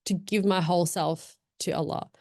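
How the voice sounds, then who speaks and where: A woman's voice: on the low side, fairly even intonation, moderate pace, dark tone, no room sound. Young woman guest, reflective and chatty, dry close recording. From Afghan, born in Pakistan, raised in Australia. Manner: thoughtful, rambling, many fillers and restarts. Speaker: podcast guest. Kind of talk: casual reflective chat.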